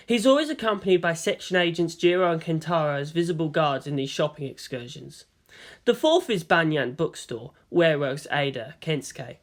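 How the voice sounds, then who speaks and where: A man reading a story as narrator in a dramatic, expressive scripted style. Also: on the high side, normal speed. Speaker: narrator of fan fiction.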